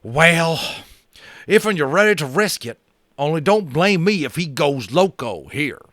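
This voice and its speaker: A man voicing a jittery, hard-drinking tiny cowboy with a high, strained, twangy voice and frontier slang. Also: animated, measured pace, fairly bright tone, no room sound, clean studio sound. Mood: indignant. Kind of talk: audiobook character voice.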